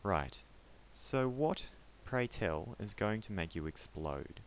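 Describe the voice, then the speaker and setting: A man voicing a gruff, sarcastic driver who speaks with scorn, lifted a little above his own deep voice. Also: lively intonation, slow pace, slight background noise.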